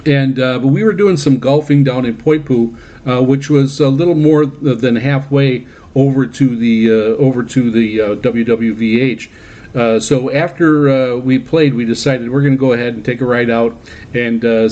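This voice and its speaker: A man talks casually as the host of a hobby radio show, in a mid-pitched voice. He halts often, filling gaps with uhs and repeating words as he recounts things. The audio is slightly dull and lo-fi. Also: darkish tone. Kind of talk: casual radio monologue recounting personal trips. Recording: dull, lo-fi band-limited audio.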